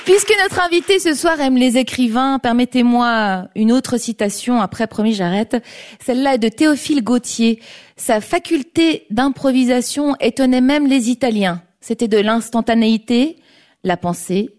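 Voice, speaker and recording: A woman hosting a live studio show in front of an audience, speaking in a lively presenter manner. Her voice sits a little high. She introduces performers and questions her guest. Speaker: host.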